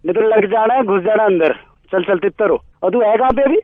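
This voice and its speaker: Man acting a high-voiced blustering Delhi tough. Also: fairly quick, dry recording, natural voice. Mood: threatening. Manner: blustering, slangy, interrupting. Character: swaggering, threatening Delhi tough guy, boastful local strongman. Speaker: radio prank caller. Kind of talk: comic prank phone call. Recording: tinny narrow phone line, dry.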